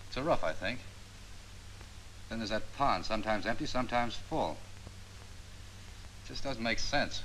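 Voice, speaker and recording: An actor playing a confident, meticulous Army photo interpreter in a 1940s training film, explaining things precisely. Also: male voice, on the low side, lively intonation, unhurried, long pauses, a bit bright, low-quality recording. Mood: self-assured. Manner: precise, self-assured, explanatory. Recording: 1940s film soundtrack with faint hiss.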